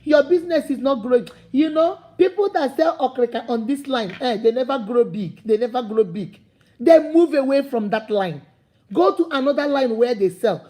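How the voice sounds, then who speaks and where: A Nigerian-accented woman delivers a fired-up motivational talk, her high voice husky at the edges but mellow in tone. She preaches in repeating, building phrases that rise to emphatic calls. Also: expressive, normal speed. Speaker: motivational speaker. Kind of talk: impassioned motivational talk to camera.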